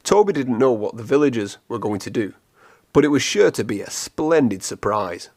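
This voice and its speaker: Man, children's read-aloud narrator, expressive, lively swooping intonation, clearly phrased. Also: mid-range voice, normal speed. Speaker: storybook reader.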